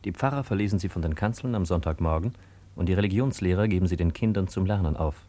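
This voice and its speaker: A man's voice: low-pitched, warm tone. A man narrating a radio drama in a grave, rhetorical manner. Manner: grave, rhetorical, deliberate repetition.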